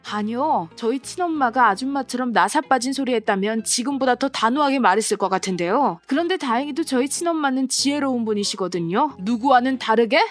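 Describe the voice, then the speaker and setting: A woman voicing a cool-headed young adult daughter who speaks calmly and coldly. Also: on the high side, fairly quick, hardly any pauses, soft background music, lightly acted. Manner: calm, cold, cutting. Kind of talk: dramatized story reading.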